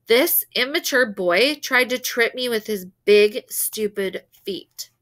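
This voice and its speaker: A snooty, indignant schoolgirl from a storybook, acted out by a woman reader. The voice is bright and fairly high, with melodramatic scorn and accusation. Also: slow pace. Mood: melodramatic outrage.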